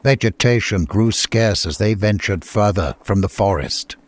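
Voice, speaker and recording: A man's voice: lowish voice, a little slow. A man narrating a fantasy audiobook in a scripted, dramatic storytelling style. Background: music.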